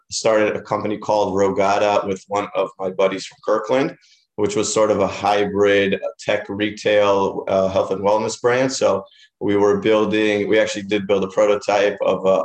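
Low, flat-voiced man from Israel. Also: moderate pace. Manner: rambling, with many fillers and restarts. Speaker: guest. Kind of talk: casual interview talk. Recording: roomy echoey room.

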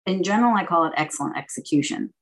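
A woman in her fifties from San Francisco, a banking executive answering interview questions in a measured, orderly way, laying out her points as lists. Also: on the low side, expressive. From San Francisco, United States. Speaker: guest, banking executive and board director.

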